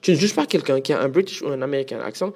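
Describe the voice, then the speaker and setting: A man's voice: medium pitch, animated, racing, a little mellow. A man, hosting a casual solo podcast monologue, hopping between French and English with frequent restarts. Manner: switches between French and English mid-sentence; frequent restarts and repeated words. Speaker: podcast host.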